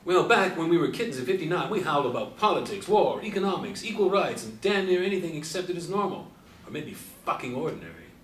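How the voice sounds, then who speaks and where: Fired-up old male Beatnik man acting, highish voice, slangy, emphatic, distant on a stage. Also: big pitch swings, fast talker, fairly bright tone. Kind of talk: stage play scene reading. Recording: echoey room from far off, faint noise.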